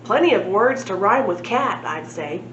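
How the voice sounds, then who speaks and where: A woman's voice: mid-range voice, lively intonation, normal speed, reverberant, lo-fi sound, a little hiss or hum, natural voice. A woman reading a storybook, voicing an irritable, muttering elderly serving boy. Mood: irritable, grumbling. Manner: muttering, grumbling.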